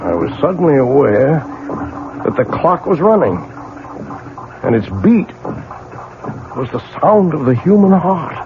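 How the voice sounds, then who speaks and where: Puzzled husband, tinny old radio. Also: male voice, a little high, big pitch swings, a little slow, long pauses, heavy background noise. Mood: hushed awe. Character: puzzled, defensive clockmaker husband telling his own strange tale. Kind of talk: 1970s radio drama acting with first-person narration. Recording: tinny vintage radio broadcast with music.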